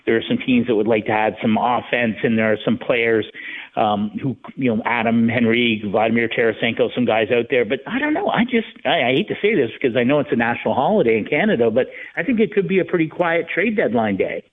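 A man giving casual sports analysis as a guest over the phone, rambling and halting as he thinks. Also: medium pitch, expressive, slightly rough. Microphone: phone call. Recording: tinny narrow phone line.

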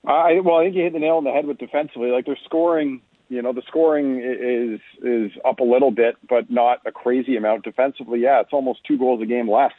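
A man's voice: medium pitch, steady tone, fast talker, few pauses, no room sound. Male hockey analyst on a phone-like line. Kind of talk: conversational sports analysis. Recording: tinny phone-like radio line.

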